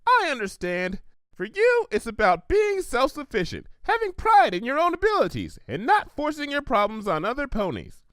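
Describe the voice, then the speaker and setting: A man's voice: extremely high pitch, huge pitch swings, moderate pace, a bit bright, no room sound, studio quality, pitched above the performer's own voice, exaggerated voice. A man voicing a perky, earnest young female cartoon pony with a gushing, sing-song delivery for a story. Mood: bubbly.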